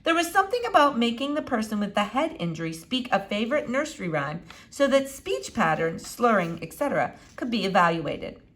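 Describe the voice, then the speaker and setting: A woman reading a children's novel aloud in an expressive, animated way with dramatic pauses. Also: on the high side. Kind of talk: expressive storybook read-aloud.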